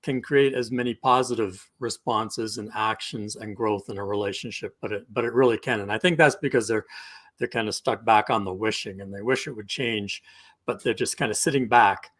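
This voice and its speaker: A man's voice: on the low side, steady tone, normal speed, a bit bright. A middle-aged man talking as a couples coach on a live video call, explaining things conversationally with examples. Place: indoor room, video call.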